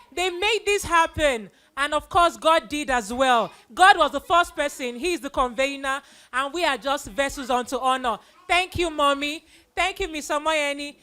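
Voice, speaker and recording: A woman's voice: high-pitched, pushed and bright. A middle-aged woman giving an informal, effusive thank-you speech to an audience, repeating phrases for emphasis. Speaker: event convener giving a vote of thanks.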